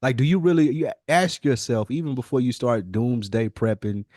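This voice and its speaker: American man, medium-pitched voice, blunt emphatic slangy talk, profane, casual podcast co-host, dry close recording. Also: moderate pace, warm tone, no room sound.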